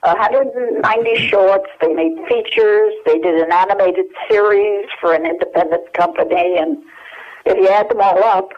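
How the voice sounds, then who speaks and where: A woman in her eighties talks over a muffled telephone line, pausing often with fillers as she searches her memory. Her voice sits at a middling pitch for a woman, with a friendly, chatty delivery. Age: 80s. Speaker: guest. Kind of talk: phone interview.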